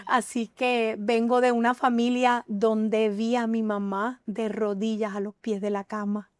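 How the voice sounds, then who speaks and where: Earnest woman sharing her testimony, somewhat high. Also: flat delivery, measured pace, a little mellow. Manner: emotional, earnest, hesitant with many fillers. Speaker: guest. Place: TV studio.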